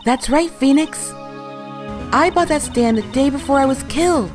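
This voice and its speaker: A woman playing a calm, encouraging ghostly mentor in a game fandub, coaxing as she speaks. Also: high voice, normal speed, natural voice. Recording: music clearly behind the voice.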